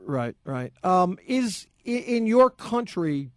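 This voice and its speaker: A man's voice: high-pitched, very animated, slow, no room sound. A man hosting a sports call-in radio show, ranting combatively and sarcastically into a studio microphone. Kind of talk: heated opinion monologue and call-in.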